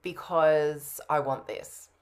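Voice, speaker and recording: A woman's voice: low-pitched, takes it slowly. A woman in her thirties chatting casually on a video call as a participant in a coaching roleplay. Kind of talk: casual chat. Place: indoor home room, on video call.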